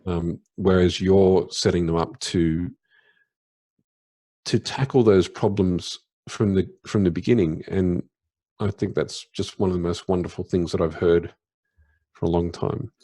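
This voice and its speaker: A man's voice: low-pitched, steady tone, measured pace, dark tone. A man co-hosting a podcast, talking casually and reflectively, circling back on his point with restarts.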